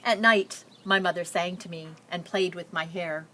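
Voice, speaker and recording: A woman reading a picture book aloud, telling the story and pausing with simple explanatory asides for young listeners. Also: lowish voice, fairly even intonation, pushed and bright.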